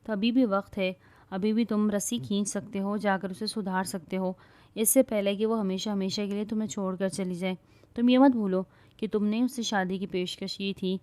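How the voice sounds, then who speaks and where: A woman's voice: medium pitch, steady tone, darkish tone, no echo, lightly acted. A male friend giving urgent, persuasive advice, voiced by a woman narrator. It is recorded dry and close. It has a storytelling manner. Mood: urging. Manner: urging, persuasive.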